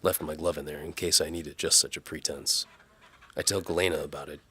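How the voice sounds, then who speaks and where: A man's voice: rumbling low, fairly even intonation, a little fast, pushed and bright, husky, heavily acted. A man playing a sardonic, world-weary bow for hire, narrating his own story in noir audio drama style.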